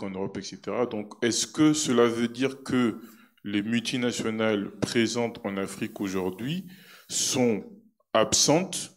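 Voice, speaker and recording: Halting man in his fifties, low voice. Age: 50s. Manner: halting, many fillers. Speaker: audience member asking a question. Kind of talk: question from the floor at a conference.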